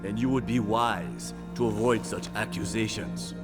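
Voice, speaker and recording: A man's voice: lowish voice, measured pace. A man playing a menacing, proud crime boss in a video game, speaking formally and haughtily. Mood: cold disdain. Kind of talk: video game cutscene acting. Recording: loud music behind the voice.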